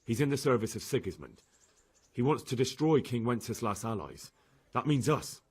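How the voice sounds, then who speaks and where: A man's voice: medium pitch, very animated, normal speed, breathy edge. An actor playing an earnest young man in a video game cutscene, a captive turned messenger. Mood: earnest, grave.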